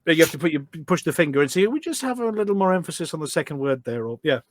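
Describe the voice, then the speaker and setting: A man's voice: on the high side, expressive, very fast, no room sound, clean studio sound. A man chatting casually as an interview guest, telling anecdotes in an enthusiastic, talkative way. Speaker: podcast guest, voice pack producer.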